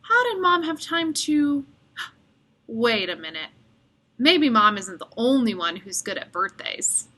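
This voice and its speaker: A woman reading a children's picture book aloud as an expressive storyteller. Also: a little high, measured pace.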